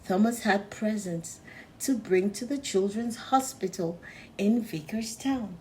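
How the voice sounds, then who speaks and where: Slow, mellow woman reading a children's story. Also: medium pitch, a little hiss or hum, rough recording. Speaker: storybook reader. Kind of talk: children's read-aloud narration.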